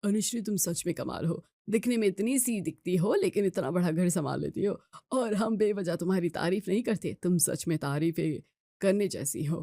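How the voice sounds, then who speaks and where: A woman's voice: lowish voice, normal speed, gravelly, warm tone, no room sound, clean studio sound. A woman voicing a kindly, appreciative older father-in-law in an audio story, considerate and full of praise. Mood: kind, admiring.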